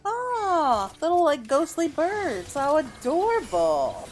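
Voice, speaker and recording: A woman's voice: high-pitched, very animated, slow pace, soft background music. A woman giving casual, chatty commentary as she plays a game, reacting aloud as she goes. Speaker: gamer recording a playthrough.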